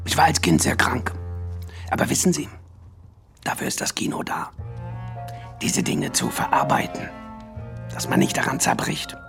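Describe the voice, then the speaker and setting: An actor playing a courtly, intense film distributor in a radio drama, speaking in a hushed, breathy, savoring way. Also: male voice, mid-range voice, expressive, moderate pace, long pauses, over music. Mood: confiding, intense. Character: courtly, intense male film distributor, passionate cinephile wooing actress.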